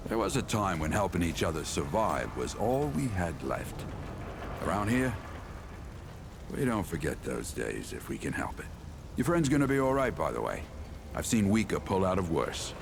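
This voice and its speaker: An actor playing a genial, folksy equipment smith and survivor in a video game, chatty and welcoming. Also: male voice, deep voice, sing-song, moderate pace, creaky, noisy background, full character voice. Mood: reassuring. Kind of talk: video game character dialogue.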